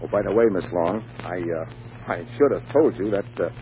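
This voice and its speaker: A man's voice: deep voice, moderate pace. A man acting as an earnest, courteous businessman in a 1940s radio comedy, polite and eager, at times hesitant. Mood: courteous, slightly awkward. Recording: muffled, noisy vintage radio recording.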